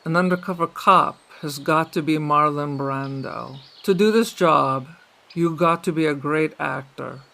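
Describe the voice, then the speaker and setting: Bright, creaky man playing a police mentor. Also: fairly high pitch, measured pace, exaggerated voice. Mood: insistent. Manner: emphatic, repetitive, instructive. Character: streetwise police mentor coaching an undercover cop in acting. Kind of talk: audio drama dialogue.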